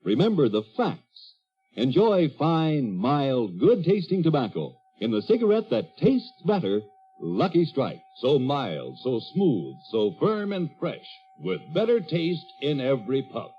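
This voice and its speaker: A husky male announcer delivers an old-time radio sales pitch. His phrasing is emphatic and deliberate, with hugely varied intonation, on a dull 1940s recording. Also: very animated, soft background music. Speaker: commercial announcer. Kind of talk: 1940s radio advertising read.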